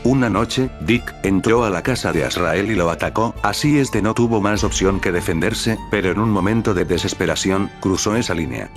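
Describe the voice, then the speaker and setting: Male narrator, low-pitched, scripted horror recap, dark background music. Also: expressive, moderate pace, few pauses.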